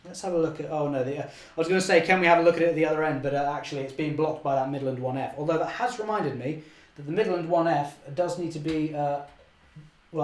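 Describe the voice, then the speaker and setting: A young man, slightly hoarse, chatting cheerfully through a live model railway session and correcting himself often. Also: on the high side, reverberant. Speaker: model railway channel host. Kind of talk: live-stream running commentary.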